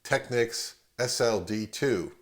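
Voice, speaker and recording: A middle-aged man giving a casual, matter-of-fact how-to demonstration in a relaxed, conversational way, as a hobbyist vlogger. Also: mid-range voice, takes it slowly.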